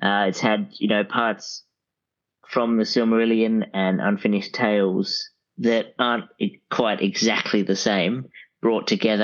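A man's voice: on the low side, highs cut off. A man speaking as a guest in a casual interview, hesitant and mild, over call audio. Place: remote call.

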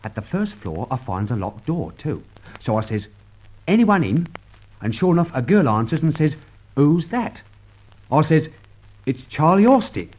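A slightly muffled vintage radio recording carries a nimble rogue. He is a cheeky, chatty sidekick with a bouncing, animated tune to his speech. His manner is slangy and jocular. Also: a little high, normal speed, pauses often, husky. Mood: chatty, amused. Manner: colloquial, jocular storytelling. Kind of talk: old radio serial acting. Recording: dull, lo-fi old radio recording.

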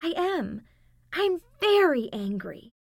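A woman's voice: extremely high pitch, very animated, slow pace, gravelly, no room sound, studio quality, pitched above the performer's own voice, full character voice. A woman reading a storybook, voicing a playful, dorky teenage girl heroine with a sing-song delivery. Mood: mock angry.

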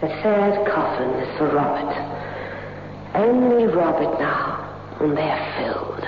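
A cold, controlling manipulator from an old radio horror play. His voice is high and insinuating, each phrase deliberate, on a muffled, noisy recording. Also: very animated, roomy sound. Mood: coldly knowing. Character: sinister, controlling male manipulator, coldly knowing. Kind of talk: 1960s radio horror acting.

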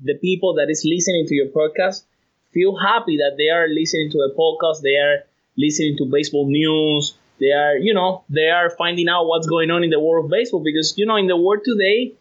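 A man's voice: fairly high pitch, fairly even intonation, brisk, highs cut off. A cheerful man from Cuba with a Cuban accent, a Spanish speaker being interviewed as a podcast guest, laughing easily as he rambles. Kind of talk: casual phone interview.

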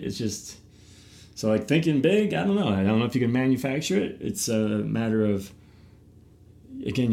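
A man's voice: mid-range voice, gravelly, a little mellow. A middle-aged man, host of a podcast and youth baseball coach, talking casually and animatedly, often repeating words as he starts a thought.

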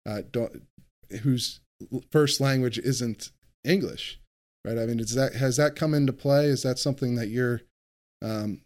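A man's voice: medium pitch, unhurried, a little mellow. A man hosting a podcast, asking guests questions with plenty of fillers. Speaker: podcast host. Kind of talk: interviewing guests.

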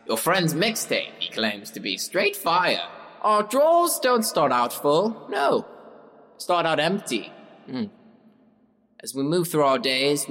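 In a reverberant hall, a folksy, eccentric pastor preaches a funeral sermon for an audio drama. His pitch leaps up and down as he rambles through halting lists and pointed questions. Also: a bit bright. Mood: earnest, rambling. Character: folksy, eccentric male small-town pastor preaching a eulogy. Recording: echoey hall with long reverb.

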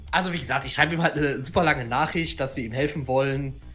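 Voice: mid-range voice, fast, a bit bright, soft background music. Hesitant, stammering tabletop player. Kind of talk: casual tabletop roleplay chat. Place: online voice chat.

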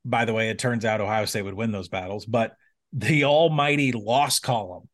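A man co-hosting a betting podcast, breaking down college football matchups in a quick, analytical way with fillers. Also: fairly low pitch. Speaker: sports betting podcast co-host. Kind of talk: casual betting analysis chat.

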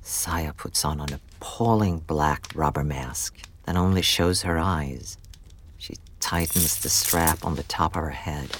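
A man narrating a scripted audio drama deliberately, with dramatic pauses. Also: very deep voice, fairly bright tone, slight background noise. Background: music.

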